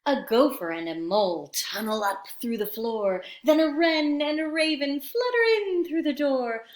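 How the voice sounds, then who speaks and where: A woman reading a picture book aloud to young children in an expressive, teacherly manner, pausing to explain words. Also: high-pitched. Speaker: storytime reader for young children. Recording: roomy, echoey sound.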